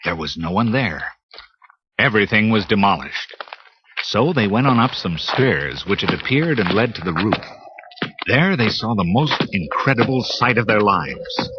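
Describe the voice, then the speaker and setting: A man narrating a storybook read-along with dramatic, expressive delivery. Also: on the low side, moderate pace. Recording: lo-fi cassette with loud hiss.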